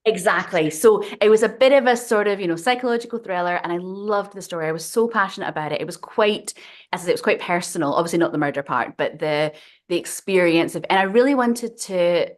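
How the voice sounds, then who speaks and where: A woman's voice: fairly low pitch, fast talker. Chatty woman telling a story. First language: not Swedish. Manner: chatty storyteller, digressive, laughs often. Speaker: guest writer. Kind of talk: casual interview conversation.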